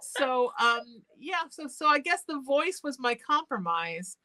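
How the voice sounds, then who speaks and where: A woman radio host with a bright, tense-edged voice pitched a little high. She is from Connecticut and talks in a frank, expressive, chatty way. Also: unhurried, low-quality recording. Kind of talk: casual interview chat.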